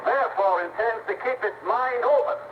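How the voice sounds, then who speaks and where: A man's voice: very high-pitched, normal speed. A man playing a formal, ponderous, oratorical judge in a 1950s radio courtroom drama. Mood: formal, deliberate. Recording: muffled 1950s radio recording, heavy noise.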